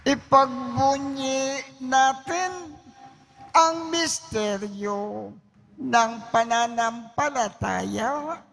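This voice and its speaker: Very slow, solemn male priest. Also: very high voice, creaky, a bit bright, slight background noise. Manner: solemn, measured, deliberate phrasing. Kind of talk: reciting the Mass liturgy. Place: large church.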